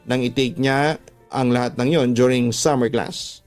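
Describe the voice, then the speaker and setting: A man's voice: mid-range voice, fairly even intonation, a little slow. A man with a Filipino accent, a radio drama host narrating listener letters and reflecting on them in a storytelling style. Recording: music playing behind the voice. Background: background music.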